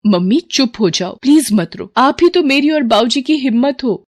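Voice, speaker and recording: An eager college girl , played by a woman, lifts her voice high as she coaxes and encourages her parents. She sounds youthful and pleading, in the style of an animated family tale. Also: normal speed. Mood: concerned. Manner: pleading, bright-spirited, coaxing. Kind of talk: animated moral story dialogue.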